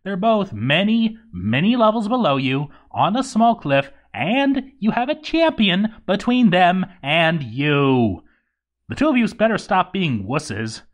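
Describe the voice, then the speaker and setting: A man's voice: high voice, very animated, a little slow, pitched above the performer's own voice. A man voicing a grouchy, boozy zombie dwarf overseer in a game journal story, stammering and exasperated. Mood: hammy, demanding. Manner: stammering, exasperated, hammy delivery. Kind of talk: dramatic reading of a game journal.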